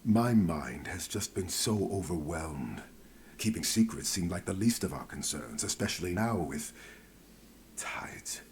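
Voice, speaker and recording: An actor playing a grave, worried male companion in a game, speaking in a hushed, earnest way. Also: sits low, lively intonation, a little slow, a little hiss or hum. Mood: troubled.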